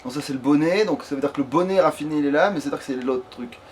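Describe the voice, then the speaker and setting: Higher-voiced man swearing through game commentary. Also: rapid-fire, a little mellow, slight background noise. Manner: sweary, rambling. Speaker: let's player. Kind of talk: casual gaming commentary.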